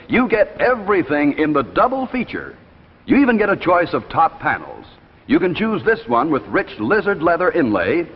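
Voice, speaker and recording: Animated 1950s American announcer, man, reverberant old recording. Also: fairly high pitch, slightly rough. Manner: polished, emphatic, addressing viewers directly. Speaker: commercial announcer and presenter. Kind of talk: 1950s live television sales pitch. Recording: dull, hissy 1950s broadcast, long reverb.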